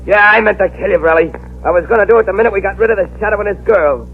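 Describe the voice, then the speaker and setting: A man's voice: sits high, rapid-fire, creaky, narrow, tinny audio. A man playing a ruthless, scheming gang boss who snarls and bullies, in an old radio drama on a scratchy broadcast. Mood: murderous. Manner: snarling, bullying.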